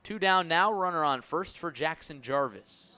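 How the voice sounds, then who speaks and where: A man's voice: fairly high pitch, expressive, normal speed, pushed and bright. A man doing live baseball play-by-play commentary for a radio broadcast.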